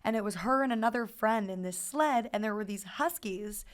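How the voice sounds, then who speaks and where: Hoarse woman podcast co-host. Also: medium pitch, normal speed. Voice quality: raspy from yelling. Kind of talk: casual chat.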